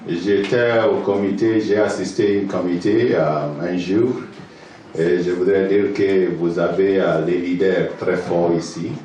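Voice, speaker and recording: A man from Ghana with a Ghanaian accent, a native English speaker, preaching as a pastor in halting, hesitant second-language speech, pausing for an interpreter. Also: low voice, fairly even intonation, dark tone, highs cut off. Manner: halting, hesitant French with fillers. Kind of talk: sermon with pauses for interpreter. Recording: echoey church hall from far off, faint noise.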